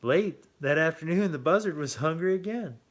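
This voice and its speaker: A man reading a children's story aloud with playful, drawn-out emphasis and chatty asides. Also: on the high side, animated. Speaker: children's story reader and host.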